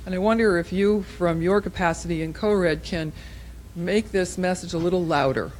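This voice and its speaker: A woman's voice: on the low side, normal speed, a little mellow, a little hiss or hum. A woman making an articulate, emphatic comment as an audience member at a conference. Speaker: audience member asking a question.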